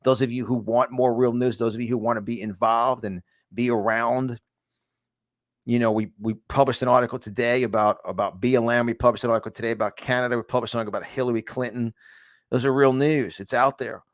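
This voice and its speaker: A man's voice: medium pitch, fairly even intonation, fast talker, dry recording. A man with a strong New York accent talks like a motivational preacher, pressing each point and circling back over his words. His voice sits in an ordinary middle range. It is recorded dry and close, with no room sound. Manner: emphatic, repetitive, stumbling restarts, preacherly. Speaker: host of a conservative news channel. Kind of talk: motivational monologue.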